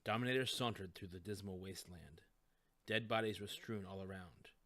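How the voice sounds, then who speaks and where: A man narrating a scripted story in a measured, literary storytelling manner. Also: low voice, bright, forceful tone.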